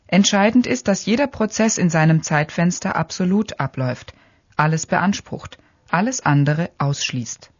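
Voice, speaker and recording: A voice reading an experimental radio essay in a measured, matter-of-fact way. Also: medium pitch, lively intonation, no echo, highs cut off.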